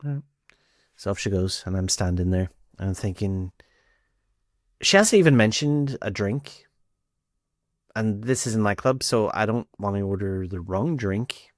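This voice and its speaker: A man's voice: fairly low pitch, unhurried, pauses often, dry recording, clean studio sound. A man with a Northern Irish accent telling a personal story casually, in a conversational way, acting out bits of dialogue. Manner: conversational, acts out quoted dialogue.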